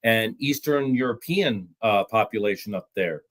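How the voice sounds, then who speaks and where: A man's voice: on the low side, animated, normal speed, fairly bright tone. A man appearing as a guest on a talk show, explaining things in a matter-of-fact way with occasional stammered restarts. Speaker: trucking recruiting executive, guest. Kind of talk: podcast discussion.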